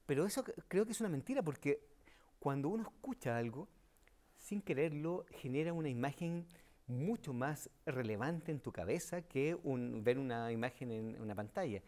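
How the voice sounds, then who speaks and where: Middle-aged man, halting and mid-pitched. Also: expressive, warm tone. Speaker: audio fiction writer. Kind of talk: spontaneous interview answers. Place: indoor interview set. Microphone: lapel.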